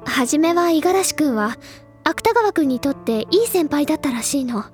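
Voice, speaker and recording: A high-pitched, bookish teenage schoolgirl from a Japanese audio drama. She is earnest and refined, savoring her words with effusive, gently persuasive phrasing. Music plays clearly behind her. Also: animated, normal speed. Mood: concerned, gentle. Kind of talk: Japanese audio drama, anime-style acting.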